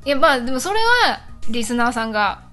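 A high-voiced woman chats casually as a radio co-host. Her intonation swings freely as she banters and teases, while music plays behind her. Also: sing-song, a little fast, pushed and bright. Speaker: co-host of an internet radio show. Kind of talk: casual chat.

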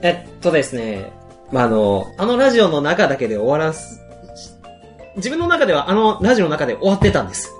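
A woman's voice: low voice, wildly swooping pitch, fairly quick. A woman co-hosting a radio show, bantering casually in a flustered, laughing way, with music behind her. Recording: music under the voice. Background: background music.

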